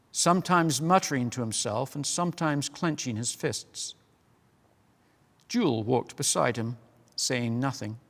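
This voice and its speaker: Male storybook reader, gravelly, slightly high, roomy reverb. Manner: measured storytelling. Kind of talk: reading a children's novel aloud. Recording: long hall reverb.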